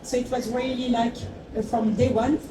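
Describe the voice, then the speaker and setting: A woman's voice: on the high side, level tone, moderate pace. Woman in her forties, echoey distant talk. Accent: foreign, not Mexican. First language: not English. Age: 40s. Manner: reflective, searching for words, many fillers. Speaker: tequila brand founder. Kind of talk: talk to an audience. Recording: echoey room, far from the mic, noisy.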